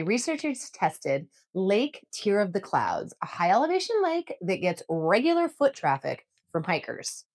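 A woman in her thirties giving an earnest, emphatic scripted explainer to camera from a room at home. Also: medium pitch. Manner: earnest, emphatic, gestures with both hands. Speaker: outdoor vlogger. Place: home room with bookshelves, indoors.

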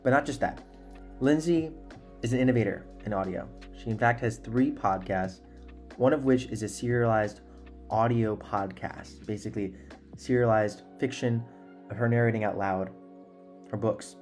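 Podcast host: young adult man, mid-pitched, earnest and emphatic, conversational, music behind. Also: moderate pace, a little mellow. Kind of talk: conversational podcast introduction and interviewing. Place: small indoor room at home. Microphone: desktop microphone close up.

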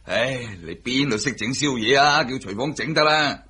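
An actor playing a demanding, grumpy older father-in-law in a radio drama, with a husky, impatient, scolding delivery. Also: mid-range voice, moderate pace, bright, forceful tone, slight background noise, close to an everyday voice. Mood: exasperated. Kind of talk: Cantonese radio drama acting.

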